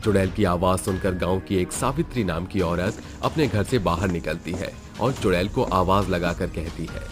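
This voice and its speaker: Male narrator, low-pitched voice, dramatic scripted horror storytelling, soft music underneath. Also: normal speed.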